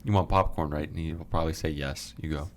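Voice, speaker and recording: A man chatting on a podcast in a deadpan way, asking naive questions and spinning schemes. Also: very deep voice, quick pace, slight background noise. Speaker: podcast guest. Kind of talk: casual podcast chat.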